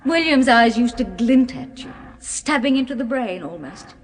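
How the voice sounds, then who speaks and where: Reverberant noisy 1970s radio drama, doting British wife, genteel, unhurried, swooping intonation, quietly possessive. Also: female voice, on the high side, animated. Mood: musing, wondering. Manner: genteel, deliberate, cooing endearments. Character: softly doting, quietly possessive wife of middle age or older.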